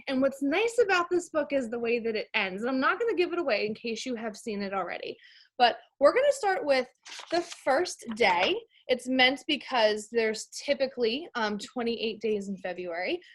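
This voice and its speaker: Casting: American female elementary teacher, slightly high voice, informal read-aloud for kids with plain explanations. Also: fairly bright tone. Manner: addresses listeners as friends, informal, explanatory. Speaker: elementary school teacher reading a picture book to pupils. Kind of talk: read-aloud lesson for young children.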